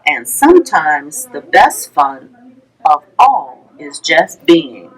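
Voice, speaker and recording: Lady doing library storytime, reading a rhyming kids book real slow and sing-songy, voice a bit on the low side. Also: sing-song, husky, low-quality recording. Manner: measured, sing-song rhyming delivery with pauses. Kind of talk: reading a rhyming children's picture book aloud.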